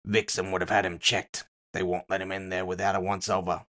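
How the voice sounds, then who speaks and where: A man's voice: low-pitched, level tone, fairly quick, a bit bright. A man voicing a smug, knowing goblin gambler in audio fiction, talking casually and matter-of-factly. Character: cigar-smoking goblin gambler, smug, knowing male friend.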